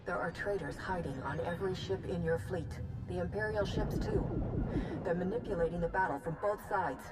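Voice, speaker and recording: Actress as a direct, self-assured female hero in a game, mid-pitched, with loud music behind. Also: brisk, lightly acted, low-quality recording. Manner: confident and direct. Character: confident, steady female Republic hero. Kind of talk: video game cutscene acting.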